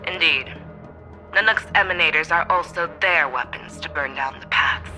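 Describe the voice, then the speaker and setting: A bright-voiced war strategist. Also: male voice, a little high, faint music behind, low-quality recording. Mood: grave. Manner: measured, cautious, briefing tone. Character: grave male military strategist. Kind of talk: game voice acting.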